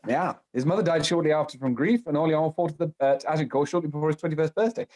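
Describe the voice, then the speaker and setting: An actor as a fast-talking, pedantic history buff man. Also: on the high side, darkish tone, lightly acted. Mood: pedantic, eager. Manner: lecturing, apologetic when cut off. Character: pedantic history-buff male amateur actor, eager to explain.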